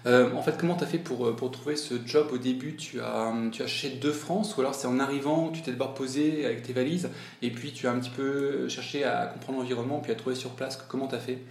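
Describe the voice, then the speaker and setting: A man's voice: mid-range voice, fairly even intonation, fast, gravelly. A man hosting a podcast interview, asking long rambling questions with restarts. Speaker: podcast host. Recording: roomy echo.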